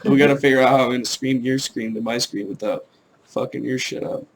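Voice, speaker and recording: A man's voice: mid-range voice, steady tone, brisk, gravelly, a little hiss or hum, low-quality recording. A man hosting a casual live stream, bantering loosely and swearing as he chats. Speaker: stream host. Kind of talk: casual chat.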